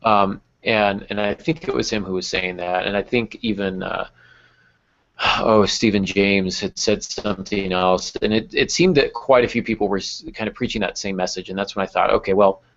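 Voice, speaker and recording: A man talking casually as a podcast host, feeling his way through his thoughts in a halting manner. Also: fairly low pitch, fairly even intonation, a bit bright. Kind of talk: casual conversational podcast talk. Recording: dull lo-fi audio.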